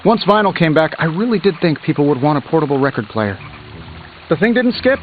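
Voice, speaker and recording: An actor playing a cocky billionaire inventor superhero in a video game, glib and sarcastic with playful quips. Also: male voice, fairly high pitch, big pitch swings, a little fast, phone-quality sound, a little hiss or hum. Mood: breezy. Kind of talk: video game character dialogue, quippy banter. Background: music.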